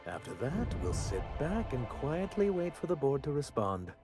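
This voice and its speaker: An actor playing an earnest, proud, bookish idealist in a video game, speaking formally and precisely. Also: male voice, mid-range voice, big pitch swings, normal speed, a little mellow, soft background music, natural voice. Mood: excited, determined. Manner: formal, precise, self-important.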